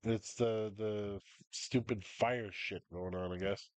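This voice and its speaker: A man's voice: fairly low pitch, fairly even intonation, a little slow, dry recording. A man with a stuffy nose and a cough, rambling as the game master of a tabletop game, full of ums and uhs.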